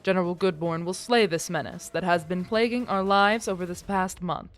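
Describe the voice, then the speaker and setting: A woman's voice: lowish voice, steady tone, normal speed, faint music behind, natural voice. A woman playing a self-important lieutenant general, giving a formal, declamatory broadcast address in an audio drama. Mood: proud, official.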